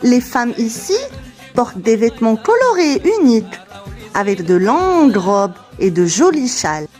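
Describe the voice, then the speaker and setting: A woman's voice: on the high side, big pitch swings, a little slow, darkish tone, faint music behind. A woman voicing a cheerful, knowledgeable young girl who explains things enthusiastically in a scripted children's educational cartoon. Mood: enthusiastic.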